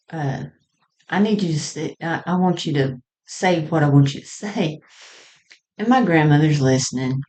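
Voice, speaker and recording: Slow, low-pitched woman reminiscing. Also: darkish tone. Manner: halting and conversational, often chuckling mid-sentence. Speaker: storyteller sharing personal experience. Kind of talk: personal storytelling, reminiscing.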